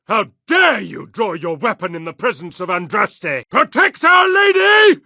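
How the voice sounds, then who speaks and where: A man's voice: sits high, sing-song, a little slow, pushed and bright, no room sound, clean studio sound, exaggerated voice. A male actor playing a fanatically devout, outraged guard in a video game, commanding and fervent. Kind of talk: video game character acting.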